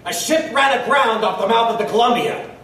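An actor playing a gruff, exasperated newspaper editor with an emphatic, sarcastic manner in a steampunk audio drama. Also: male voice, very high voice, brisk, fairly bright tone. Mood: urgent, intrigued. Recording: roomy, distant, faint background noise.

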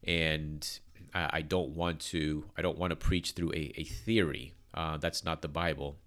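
A man's voice: extremely low pitch, fairly even intonation, unhurried, a bit bright. A man speaking as a pastor on his church's podcast, congested from allergies, talking in an earnest, careful way with many fillers and restarts.

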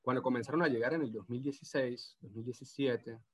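Venezuelan gocho-accented man in his thirties, guest in a relaxed interview, hesitant delivery. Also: medium pitch, quick pace, husky. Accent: Venezuelan Andean (gocho) Spanish. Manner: hesitant, many restarts and fillers. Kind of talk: casual video interview.

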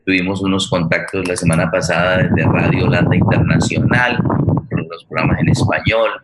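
A man's voice: low voice, steady tone, very noisy. A man with a Colombian accent hosting an unscripted live online show, talking at length and often repeating words as he feels for the next one. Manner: wordy, halting, repeats words. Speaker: host.

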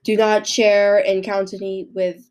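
A young woman with a cold and a hoarse voice, hosting a podcast and reading her notes in a halting way, often stumbling and restarting. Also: medium pitch, level tone, darkish tone. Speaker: podcast host. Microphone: microphone into a laptop.

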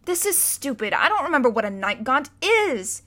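Lady doing a little boy, six, high and whiny, kinda stubborn. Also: very animated, normal speed, pushed and bright, heavily acted. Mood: sulky. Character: six-year-old boy, sulky and stubborn.

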